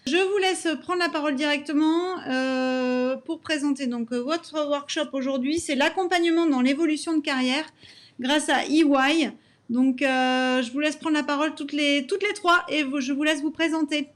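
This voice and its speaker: A woman's voice: high voice, steady tone, moderate pace. A woman event host formally introducing panellists, with polite, slightly hesitant phrasing.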